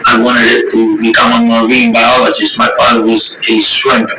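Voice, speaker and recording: A man's voice: mid-range voice, monotone, moderate pace. Middle-aged man, candid rambling testimony, distant mic. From Ecuador. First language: Spanish. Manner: rambling, repeats words, swears. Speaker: interviewee sharing recovery story. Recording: echoey room from far off, heavy noise.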